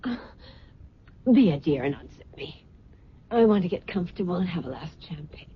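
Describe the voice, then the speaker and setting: An actress playing a vain, fretful socialite wife in a theatrical, genteel old-time radio drama style. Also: female voice, lowish voice, animated, moderate pace, lightly acted. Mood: breezy, flirtatious. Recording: muffled, noisy old radio recording.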